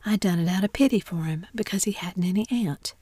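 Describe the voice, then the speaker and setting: A woman's voice: mid-range voice, fairly quick, mellow, breathy edge, dry recording, natural voice. A woman whispering the lines of a mischievous young boy in a storybook reading. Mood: sly.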